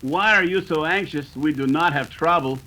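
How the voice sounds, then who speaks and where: A man's voice: on the high side, normal speed, pushed and bright, low-quality recording. A man playing a sly, murderous bandit leader with a put-on Mexican accent in an old-time radio western. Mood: sly, suspicious.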